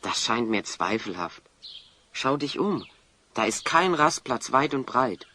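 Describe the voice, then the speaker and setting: A man's voice: mid-range voice, big pitch swings, slow pace, sharp tone, exaggerated voice. A man playing an earnest, sensible fifteen-year-old schoolboy in a fantasy audio drama, reasoning things out as he speaks. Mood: concerned, decisive. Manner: explanatory, reasoning. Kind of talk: German audio drama acting.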